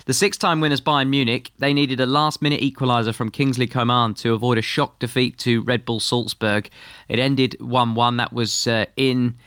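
A brisk man from the United Kingdom presents sports news on breakfast radio, with a lightly wry touch between the results. His voice is dry and close, with almost no room sound. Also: mid-range voice, little pitch movement, a bit bright, smooth, dry recording. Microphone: studio microphone.

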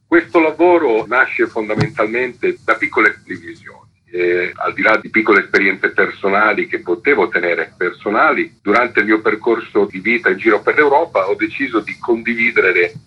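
Man, author being interviewed, lowish raspy voice, explanatory answers, lo-fi phone sound. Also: normal speed. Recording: dull, lo-fi radio phone link.

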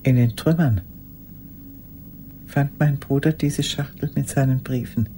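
Elderly woman, dark mellow tone, halting intimate monologue, haunted by the past, radio drama. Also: a bass voice, steady tone, slight background noise. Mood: haunted, hushed. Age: older. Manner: halting, intimate, sometimes dropping to a whisper. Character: old woman haunted by a lost wartime love.

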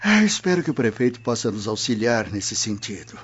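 A man playing a worried, dignified old Roman grandfather in a radio drama, with a husky voice. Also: medium pitch, very animated, a little fast. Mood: worried, earnest. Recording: dull lo-fi audio.

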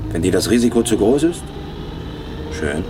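Low-voiced, cynical gangster. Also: male voice, very animated, normal speed. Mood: cynical, businesslike. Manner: terse, cynical. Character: hard, persuasive gangster middleman handing out murder jobs. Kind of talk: 1960s German radio play acting. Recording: noisy old radio recording, traffic sounds.

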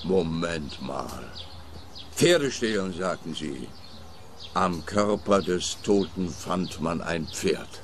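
Pompous policeman, Swiss German accent, lowish voice, earnest, radio play. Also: animated, takes it slowly, gravelly, slight background noise, full character voice. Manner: self-important, earnest, muddled sayings. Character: dim, pompous male police officer.

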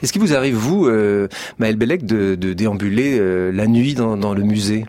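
A man's voice: lowish voice, animated, moderate pace, a little mellow. A middle-aged man hosting a late-night radio show in a studio, talking in a meandering way with many fillers and restarts. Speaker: radio host. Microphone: studio microphone.

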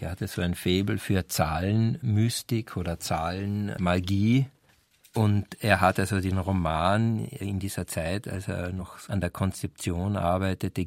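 Low voiced scholar guy, creaky, explaining things point by point, really dry close sound. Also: darkish tone, no room sound. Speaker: literary scholar commenting. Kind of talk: explanatory talk on a novel's history.

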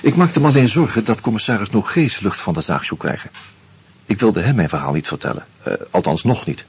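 An actor playing a wry, uneasy screenwriter who narrates a radio play in a measured, reflective way with hesitant fillers. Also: male voice, fairly low pitch, expressive, darkish tone, slightly rough, full character voice. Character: wry, uneasy male screenwriter caught up in murders.

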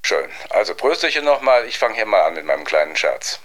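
A man telling a story informally in an unhurried, rambling way. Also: lowish voice, very animated, a bit bright. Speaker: joke teller. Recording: very noisy location recording.

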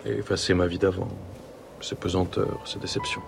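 An actor playing a reticent, melancholy Parisian polar researcher in a radio drama, subdued and measured. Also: male voice, low-pitched, little pitch movement. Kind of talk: naturalistic French radio drama acting. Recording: clear ambient noise behind voice.

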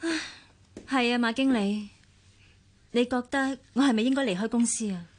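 An actress playing a wounded, bitter office secretary in a radio drama, with a Hong Kong Cantonese accent. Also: female voice, on the high side, moderate pace, big-room sound, close to an everyday voice.

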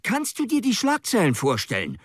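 Impetuous young nephew in business, radio drama. Forceful, very animated, slightly high male voice. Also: normal speed, husky, no echo, clean studio sound, full character voice. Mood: outraged, urgent. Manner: impetuous, emphatic. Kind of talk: German radio drama acting.

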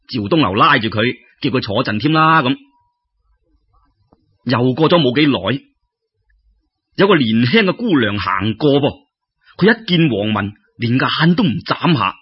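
A man telling folk tales as an expressive storyteller, in a conversational way that addresses listeners directly. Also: medium pitch, moderate pace, pauses often, slightly rough, highs cut off.

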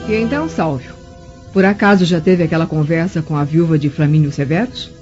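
An actress with a Brazilian accent playing a haughty, scheming middle-aged Roman matron in a radio drama, imperious and insinuating. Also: female voice, deep voice, fairly quick, darkish tone, natural voice. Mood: indignant. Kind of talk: melodramatic radio drama acting. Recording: dull lo-fi radio drama, music behind.

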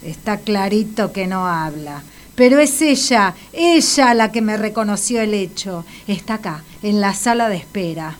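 An actress with an Argentine accent playing a stern, sarcastic, insistent hospital doctor in a comic radio drama. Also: female voice, medium pitch, lively intonation, a little slow, lightly acted. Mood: stern, impatient. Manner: sardonic, insistent.

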